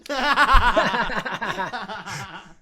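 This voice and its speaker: A man's voice: high-pitched, drawn-out words, crowd noise. A man hosting a casual group chat in a chatty, joking way, often breaking into laughter. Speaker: podcast host.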